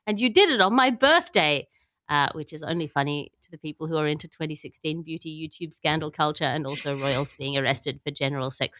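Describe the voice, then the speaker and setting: A woman's voice: fairly low pitch, bright, forceful tone. A woman hosting a comedy news podcast, wry and wordy, moving between mock-grandiose scripted lines and loose riffing. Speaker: podcast host.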